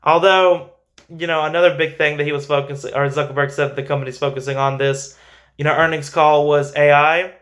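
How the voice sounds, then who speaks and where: A man's voice: a little high, flat delivery, fairly quick, a bit bright. A man hosting a finance show, giving informal, emphatic stock commentary and repeating phrases for stress.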